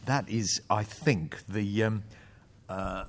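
A man co-hosting a podcast, dry and hesitant, full of pauses. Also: lowish voice. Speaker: podcast co-host. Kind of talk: conversational commentary.

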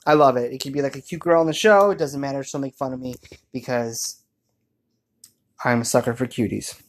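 A man's voice: mid-range voice, brisk. A young man chatting casually as he co-hosts, telling a story in a jokey, rambling way and acting out little quoted asides. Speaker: co-host telling the couple's story.

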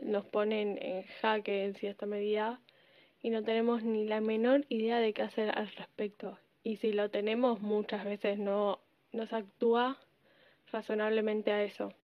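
A woman's voice: mid-range voice, little pitch movement, moderate pace, no echo, lo-fi sound. A teenage young woman with an Argentine accent, explaining her views as a podcast co-host. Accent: Argentine Spanish. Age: teens, secondary school student. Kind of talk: conversational opinion podcast.

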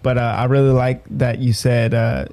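Flat-voiced hesitant man. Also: medium pitch, no echo. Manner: hesitant, halting. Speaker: aspiring writer asking for advice. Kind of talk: casual chat.

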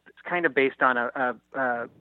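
A man calling in as a guest writer, talking casually in a halting, self-effacing, rambling way. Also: mid-range voice, no echo. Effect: phone line. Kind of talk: casual phone interview. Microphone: telephone call-in. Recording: thin, dry phone-line sound.

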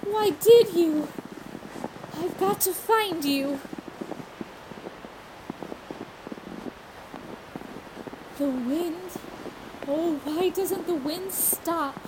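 A woman's voice: extremely high pitch, takes it slowly, noisy background. A woman playing a frightened, fragile young woman in a radio horror drama, anxious and devoted, pleading breathlessly and repeating herself. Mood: anguished.

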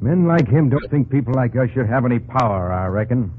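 An actor playing a plain-spoken, steadfast young American frontier husband in an old radio drama, with a folksy, measured delivery. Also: male voice, medium pitch, expressive, natural voice. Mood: resolute. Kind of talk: 1940s-50s radio drama acting. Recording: muffled vintage radio recording with music.

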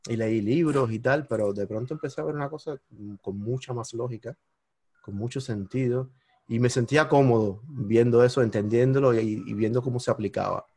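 Man, Cuban accent, mid pitch, dry close sound, animated storyteller, podcast guest. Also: brisk, husky, no room sound. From Cuba. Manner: animated, jumps into high-pitched exclamations when retelling moments. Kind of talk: storytelling in casual conversation.

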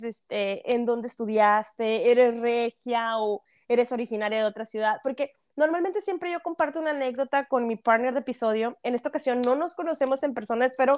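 Chatty Mexican woman hosting a podcast. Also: on the high side. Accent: Mexican Spanish. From Monterrey, Mexico. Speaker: podcast host. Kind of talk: casual podcast interview.

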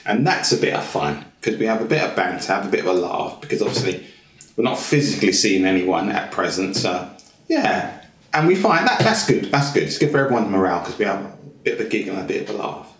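A man's voice: fairly low pitch, sing-song, quick pace, a little hiss or hum. A man, a guest in a casual interview, talking in a rambling, hesitant way with lots of fillers and repeated phrases. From England. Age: 50s. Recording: roomy echo.

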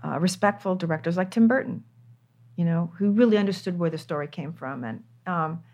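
A woman's voice: fairly low pitch, fairly quick, darkish tone, muffled recording. An older woman, a screenwriter, talking candidly in an interview, stressing her points by repeating words. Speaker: screenwriter guest.

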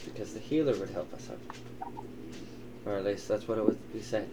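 A man voicing a gruff, doting old elven father who swears and teases in an improvised tabletop roleplay. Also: on the low side, moderate pace, close to an everyday voice. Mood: grave storytelling. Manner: wry, teasing, swearing. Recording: clearly noisy, ambient sound behind.

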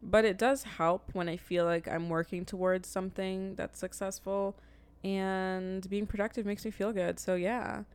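Smooth-voiced woman co-hosting a podcast. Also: medium pitch, fairly even intonation, measured pace, no echo. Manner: teasing, direct, reflective. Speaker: podcast co-host. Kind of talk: casual chat.

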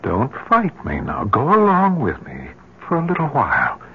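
Anxious husband, hugely expressive pitch. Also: male voice, slightly rough, heavily acted. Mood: soothing, pleading. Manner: halting, pleading, melodramatic. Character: anxious, bewildered, devoted husband, worn down by fear. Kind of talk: old-time radio drama acting. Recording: muffled vintage radio recording.

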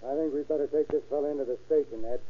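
An actor playing a blunt, distrustful police officer in an old radio crime drama. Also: male voice, fairly high pitch, steady tone, very fast, natural voice. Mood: suspicious. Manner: blunt, accusing. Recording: tinny vintage radio recording.

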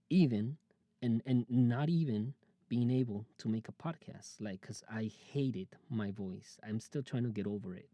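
Young male podcaster, late 20s, dark low voice, confessional rambling chat, dry close sound. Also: dry recording. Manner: rambling, confessional, many fillers and restarts. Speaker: podcast host. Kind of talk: casual personal monologue.

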